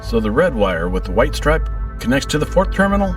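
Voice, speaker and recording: A man's voice: mid-range voice, very animated, fairly quick, close to an everyday voice. A man acting in an audio drama as a curt alien leader. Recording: distant voice, loud music behind.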